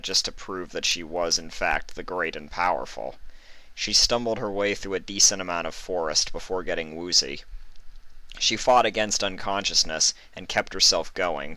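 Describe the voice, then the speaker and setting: A man's voice: low-pitched, sharp tone, no echo, low-quality recording. A man narrating a scripted story dramatically. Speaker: fan fiction narrator.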